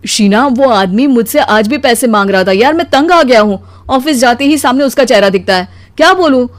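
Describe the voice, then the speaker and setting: A woman voicing a weary, put-upon, soft-hearted husband in an animated story, with a plaintive manner. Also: a little high, normal speed, no echo, lightly acted. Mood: fed up.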